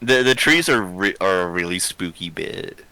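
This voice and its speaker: A man's voice: sits low, animated, moderate pace, pushed and bright, smooth. A man co-hosting a livestream, joking around in a playful, casual chat.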